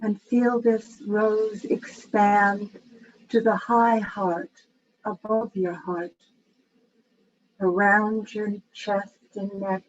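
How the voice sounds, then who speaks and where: Slow, even-voiced woman guiding meditation. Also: mid-range voice. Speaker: guided meditation leader. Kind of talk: guided meditation. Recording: dull, lo-fi with faint background noise.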